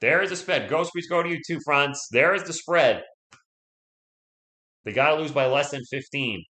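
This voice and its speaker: A man doing casual play-by-play for his team, hoarse as his voice gives out. He chats with viewers between calls. The room around him has a noticeable echo. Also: a little high, animated, moderate pace, sharp tone. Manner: chatty, reads viewer comments aloud, rooting for the Spurs. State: losing his voice. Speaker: live stream play-by-play host. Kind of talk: casual fan play-by-play commentary.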